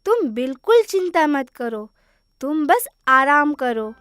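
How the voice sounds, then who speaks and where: High-voiced, dutiful young village woman actress. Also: very animated, slow, no room sound, studio quality, exaggerated voice. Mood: reassuring. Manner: gentle, polite, reassuring. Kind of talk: Hindi moral-story cartoon voice acting. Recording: music behind the voice.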